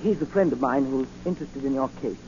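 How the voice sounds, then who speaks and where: An actor playing a proper, deferential, anxious butler in a 1940s radio drama. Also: male voice, mid-range voice, quick pace, natural voice. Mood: earnest. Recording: noisy, muffled 1940 radio recording.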